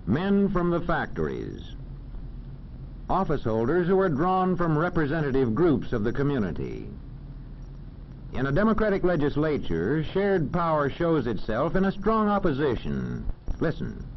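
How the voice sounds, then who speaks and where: Formal American male narrator for a 1940s classroom film, animated delivery, dull old soundtrack. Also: on the high side, slight background noise. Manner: formal, measured, didactic.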